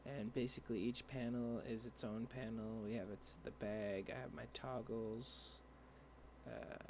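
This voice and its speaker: A young man explaining a coding tutorial over a screen share, rambling and halting with many fillers and restarts, his voice dry. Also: mid-range voice, steady tone, gravelly, slight background noise. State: dry voice, clearing throat. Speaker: tutorial creator.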